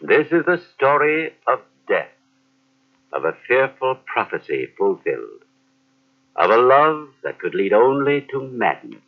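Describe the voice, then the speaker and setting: A man's voice: mid-range voice, wildly swooping pitch, a little slow, full character voice. A man playing an ominous narrator of a death tale, grave and portentous, in 1940s radio drama style. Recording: dull old radio recording.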